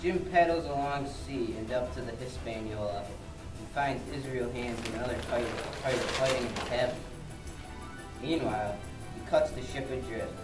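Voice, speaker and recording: A man narrates an amateur puppet show from a script, a bit stumblingly. He has a mid-pitched voice, set back in an echoey room under loud music. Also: normal speed, far from the mic.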